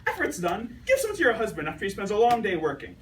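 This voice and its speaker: A woman plays a dainty, cheerful 1920s housewife hosting a radio cooking show. Her pitch swings extremely widely as she gives theatrical instructions. The amateur recording is a little distant, with faint noise. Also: wildly swooping pitch, fast talker. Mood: cheerful, instructing.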